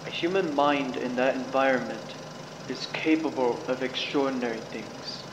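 A man's voice: medium pitch, normal speed, lightly acted. An amateur actor playing a grave, fanatical old male scientist in a game mod, with solemn, dramatic delivery. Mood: grave, intense. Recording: echoey room, clear background machine noise.

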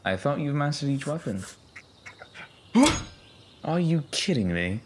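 A man's voice: a little high, sing-song, measured pace, very noisy. A man playing an unbothered, teasing fighter in an audio drama, with a dry delivery. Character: teasing, unbothered male sparring veteran.

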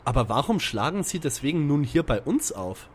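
A man with a husky voice playing a blunt, impatient amateur detective in a parody audio play. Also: fairly high pitch, big pitch swings, brisk. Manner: blunt, direct. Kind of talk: parody audio drama acting.

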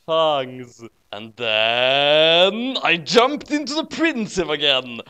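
A man's voice: fairly high pitch, sing-song, slow, sharp tone, smooth. A man narrating a story aloud in a dramatic, mock-serious comic reading.

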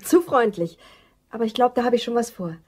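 An actress playing a disgruntled office assistant in a German crime radio drama, dry and sardonic in manner. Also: female voice, a little high, expressive, brisk, a little mellow, breathy edge. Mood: cool, polite.

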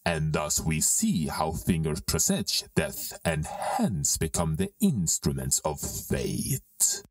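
A menacing, commanding dark lord in a Shakespearean audio drama. His voice is extremely low, swings dramatically in pitch and takes its time over each archaic line, set a little back from the mic. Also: huge pitch swings, dry recording, far from the mic, soft background music, heavily acted. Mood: brooding. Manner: slow, declamatory, archaic verse delivery.

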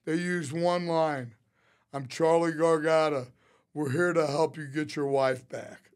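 A man tells casual, rambling anecdotes as a podcast guest, quoting people in an animated way. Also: on the high side, a little slow. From St. Louis, United States. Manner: rambling, animated storyteller who quotes others. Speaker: interview guest, restaurateur. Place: small podcast studio. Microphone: close studio mic on a boom arm.

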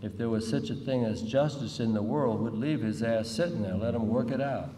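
A man's voice: mid-range voice, fairly quick, warm tone, lightly acted. Man reading as a cynical narcotics cop, echoey hall. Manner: hard-boiled, profane, cynical. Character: young, scruffy, cynical male narcotics cop. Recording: echoey hall, distant mic, faint noise.

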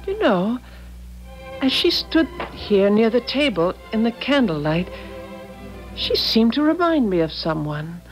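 Fretful, genteel wife, expressive voice. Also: female voice, a little high, unhurried, poor audio. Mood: wistful. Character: gentle, fretful, long-suffering invalid wife. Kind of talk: 1970s radio drama acting. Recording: 1970s radio broadcast, music behind.

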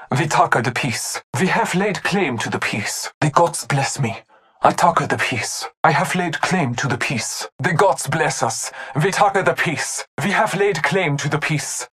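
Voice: mid-range voice, fairly bright tone. An actor playing a devout, honor-bound masked hunter warrior in a video game, speaking in formal, solemn callouts.

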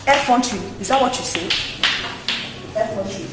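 An actress with a Nigerian accent playing a haughty, hot-tempered princess in a film melodrama, scolding and imperious. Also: female voice, mid-range voice, a little fast, natural voice. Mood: confrontational, menacing. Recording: echoey room, noisy location sound.

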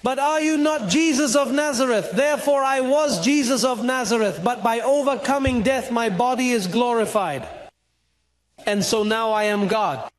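A middle-aged man preaching a sermon on a studio stage into a handheld mic, animated and acting out dialogue, at times rising to a shout. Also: very high voice, moderate pace. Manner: animated, gesturing, acts out dialogue, rises to shouts.

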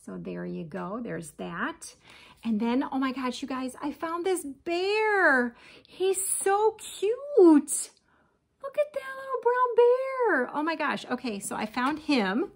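A woman's voice: sits high, very animated, slow pace, creaky. A woman in her forties chatting casually to viewers, showing her crafts at home in her kitchen and often calling them "you guys.". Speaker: crochet vlogger. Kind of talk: casual show-and-tell chat.

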